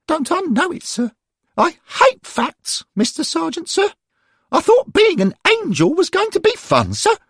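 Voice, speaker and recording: Whiny squeaky schoolboy. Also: male voice, huge pitch swings, measured pace, a bit bright, no room sound, clean studio sound, exaggerated voice. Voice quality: high squeaky falsetto, ends every line with sir. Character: whiny schoolboy ghost, nervous and sulky.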